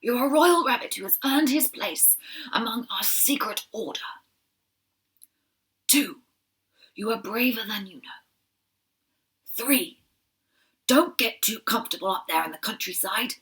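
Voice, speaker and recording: A woman doing a gruff old rabbit general. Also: fairly high pitch, lively intonation, measured pace, pauses often, fairly bright tone. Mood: grave. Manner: gruff, grave. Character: elderly male rabbit leader, kind but stern.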